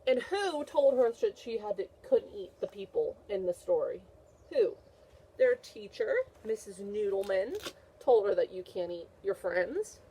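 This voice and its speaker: Woman, schoolteacher read-aloud, very lively pitch swings, mid-range voice, repeated questions, encouraging, faint background noise. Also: measured pace, poor audio. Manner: animated, repeats questions and answers in a teacherly way; encouraging, praising the listener. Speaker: teacher reading a picture book aloud to a class. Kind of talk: read-aloud for young children with comprehension questions.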